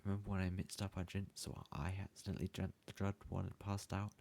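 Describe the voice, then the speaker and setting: A man's voice: sits low, level tone, fast, vocal fry, darkish tone. A man playing a bumbling, lovesick young yandere student in an ASMR roleplay, pleading hesitantly and stammering as he speaks to the listener.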